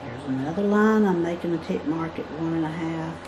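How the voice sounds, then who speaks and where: A woman with a fairly low voice talks through a drawing lesson, explaining each step in a chatty way as she works. She is recorded indoors at a work table by a camera above it. Faint background noise sits under the voice. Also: a little fast, muffled recording. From United States. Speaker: craft instructor. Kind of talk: drawing tutorial.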